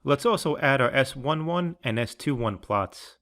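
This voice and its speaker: A man reading scripted tutorial narration in a measured, instructional way, with each phrase clearly enunciated. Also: medium pitch, animated, a bit bright.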